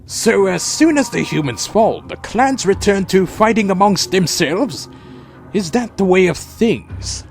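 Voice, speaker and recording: Casting: brooding male vampire warrior man acting, solemn audio drama narrator, very expressive pitch, music underneath. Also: unhurried, vocal fry, exaggerated voice. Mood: wary, questioning. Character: proud male vampire warrior, loyal to his clan, brooding. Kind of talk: audio drama dialogue and first-person narration.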